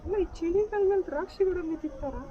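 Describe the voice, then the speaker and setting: An extremely high, girlish voice put on by a man plays a coy young woman on a prank call. She is questioning and mock-hurt, with faint noise around her. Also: quick pace, muffled recording.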